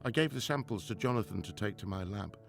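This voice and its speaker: A man's voice: lowish voice, expressive, fast, vocal fry. An actor playing a stern, driven widowed professor and father in a 1920s radio drama, with a formal, precise delivery. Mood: sombre, reflective. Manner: formal, precise, clipped scolding. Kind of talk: radio drama acting, diary narration. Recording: music under the voice.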